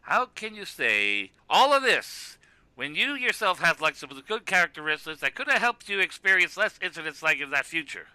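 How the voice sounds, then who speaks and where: An exasperated cartoon wrestler played by a man who scolds and mocks. His voice is very bright and forceful, fairly high, with big swings in pitch. He delivers his sarcasm emphatically, like the self-styled straight man. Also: sing-song, moderate pace, smooth, no room sound, full character voice, low-quality recording. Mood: indignant. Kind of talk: scripted comic argument in web animation.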